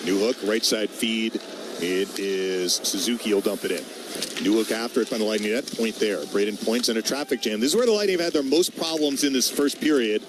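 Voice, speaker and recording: A man's voice: lowish voice, a little fast. A man calling live hockey play-by-play on the radio as the announcer. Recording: clear arena ambience noise.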